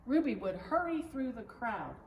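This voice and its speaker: Female storyteller, higher voice, measured and expressive children's read-aloud; echoey recording with slight background noise. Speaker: pastor reading a story aloud. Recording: roomy echo, distant mic, background noise.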